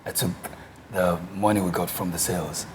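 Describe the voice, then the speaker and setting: A man's voice: sits low, fairly even intonation, noisy background. A man, a guest musician telling stories earnestly in a casual panel chat. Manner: quick, earnest storytelling.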